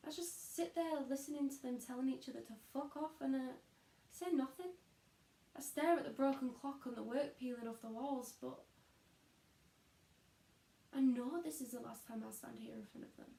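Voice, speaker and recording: A woman's voice: high voice, fairly even intonation, moderate pace, vocal fry, long pauses, dark tone. A woman playing a weary, disillusioned young schoolteacher in an introspective, confiding stage monologue. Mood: frustrated, despairing. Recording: echoey room.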